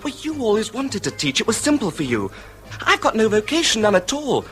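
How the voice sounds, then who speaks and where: An actor playing an earnest, ambitious young English son in a period radio drama, eager and insistent. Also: male voice, high-pitched, wildly swooping pitch, brisk, a bit bright, soft background music, heavily acted. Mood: earnest, uneasy. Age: young adult.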